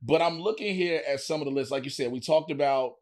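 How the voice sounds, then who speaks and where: A man's voice: a little high, quick pace. A middle-aged man chatting casually as a podcast host, telling stories in heavy slang with plenty of swearing.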